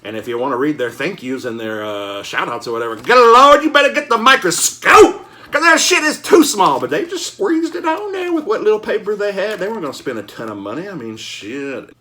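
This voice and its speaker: A man chatting casually and enthusiastically as host of a collecting show, with an excitable, slangy, folksy manner full of exclamations. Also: sits high, a little fast, slight background noise. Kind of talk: casual enthusiastic vlog chat.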